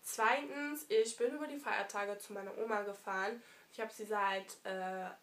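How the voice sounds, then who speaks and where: A young woman vlogging casually from her living room with a stuffy nose from a cold, chatty and affectionate, pausing often with fillers. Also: medium pitch, steady tone, a bit bright. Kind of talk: casual year-review vlog.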